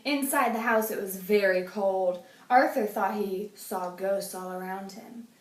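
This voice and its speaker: Woman teacher, storybook read-aloud, expressive, roomy distant sound. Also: medium pitch, a little slow, slight background noise. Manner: expressive storytelling, hushes for spooky parts. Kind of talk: children's book read-aloud.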